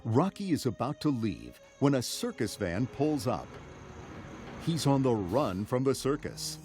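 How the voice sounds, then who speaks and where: A man's voice: medium pitch, sing-song, unhurried, a little mellow, soft background music. A man reading scripted narration for a children's read-along story.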